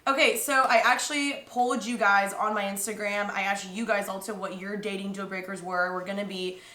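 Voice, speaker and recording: A woman's voice: mid-range voice, fairly even intonation, a little fast, sharp tone, echoey room. Bubbly, slangy woman podcast host. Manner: bubbly, slangy, laughs often. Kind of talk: casual podcast chat.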